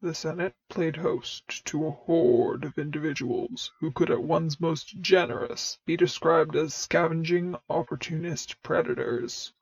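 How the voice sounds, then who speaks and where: Sci-fi audiobook villain, performed by a woman: disdainful dark lord, measured formal delivery, gravel in the voice, muffled recording. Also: sits low, dry recording, pitched below the performer's own voice, heavily acted.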